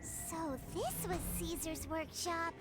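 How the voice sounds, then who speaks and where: A woman's voice: very high voice, slow. A woman voicing a curious, inquisitive young adventurer companion in a video game. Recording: game audio under loud music. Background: prominent game music.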